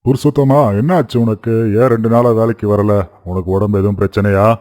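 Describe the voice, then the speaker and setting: A man's voice: lowish voice, a little fast, a little mellow, natural voice. A man voicing a kindly, shrewd rich farmer and employer in a cartoon moral story, straightforward and concerned.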